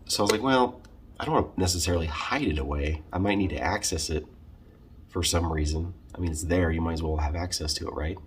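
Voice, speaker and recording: A man talking casually through a how-to vlog in a halting, conversational way, often correcting himself. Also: low-pitched, slight background noise. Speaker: video creator showing a car radio install.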